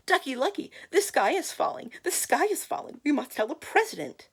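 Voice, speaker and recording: A woman's voice: high-pitched, very animated, fairly quick, pitched above the performer's own voice. A woman voicing a dim-witted, panicky storybook hen who cries out urgently and repeats herself. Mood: frantic alarm.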